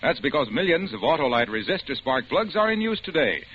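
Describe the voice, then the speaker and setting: A man's voice: a little high, big pitch swings, fairly quick. A man working as a commercial announcer, delivering emphatic, punchy scripted patter in a 1950s radio ad style. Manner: emphatic, salesmanlike. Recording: muffled vintage radio, faint noise.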